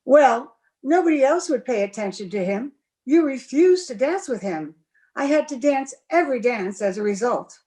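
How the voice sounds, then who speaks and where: An actress playing a proud, lovestruck young gentlewoman in an old-time radio drama, with an emphatic theatrical delivery. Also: sits high, animated, normal speed. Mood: airy, defensive. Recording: remote video-call recording.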